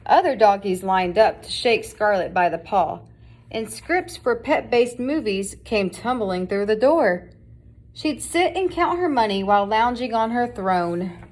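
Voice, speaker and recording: A woman's voice: medium pitch, very animated, unhurried, a little mellow. A woman reading a children's picture book aloud as scripted narration. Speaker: storybook reader.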